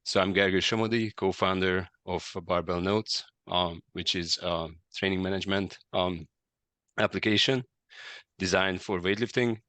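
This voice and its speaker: A man's voice: deep voice, fairly even intonation, moderate pace, fairly bright tone, no echo. A man hosting a webinar, introducing the session with many hesitant ums. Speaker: webinar host, app co-founder. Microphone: video call.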